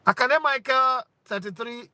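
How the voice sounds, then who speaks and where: A man in his forties from Nigeria with a Nigerian accent, hosting his sports channel with lively rhetorical questions and bits of Pidgin. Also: very high voice, unhurried, dry recording. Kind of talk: opinionated talk to camera.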